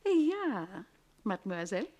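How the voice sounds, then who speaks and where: Radio comedy: prim, jealous older governess, husky, animated intonation, haughty and biting. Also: female voice, mid-range voice, measured pace. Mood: venomously polite. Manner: haughty, pointedly formal, insinuating. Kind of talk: theatrical radio comedy acting.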